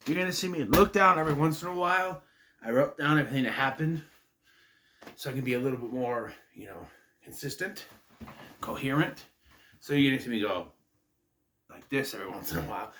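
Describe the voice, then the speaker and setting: A man hosting his own wrestling review vlog, rambling casually while getting over a cold, a bit nasal and clearing his throat. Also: a little hiss or hum. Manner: chatty, self-interrupting, lively pitch swings. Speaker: host of a wrestling review channel. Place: his room at home. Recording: echoey room.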